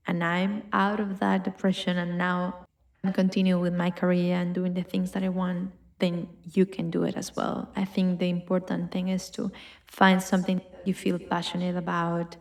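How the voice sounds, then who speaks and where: Colombian woman with a gravelly low voice. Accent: Colombian Spanish. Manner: warm, reflective, upbeat with filler words. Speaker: podcast host. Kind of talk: casual personal podcast monologue.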